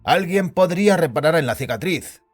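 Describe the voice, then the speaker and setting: A slightly high, animated voice for an uneasy, deferential subordinate played by a man in a science fiction audio drama. He argues earnestly but politely. Music sits under the speech. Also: moderate pace. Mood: reluctant. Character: Svets, uneasy, deferential male subordinate recovering in hospital. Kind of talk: scripted Spanish audio drama acting. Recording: music bed behind the voice.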